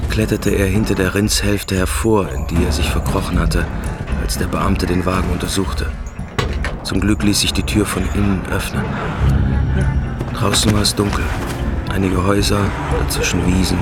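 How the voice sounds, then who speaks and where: A man's voice: low-pitched, vocal fry. A man narrating a German radio drama from a script as its storyteller. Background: prominent background music.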